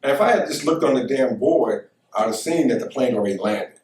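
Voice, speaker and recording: A man's voice: medium pitch, animated, a little fast, darkish tone. A middle-aged American man telling a casual first-person anecdote, often repeating words and phrases as he goes. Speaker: storyteller. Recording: echoey room, distant microphone.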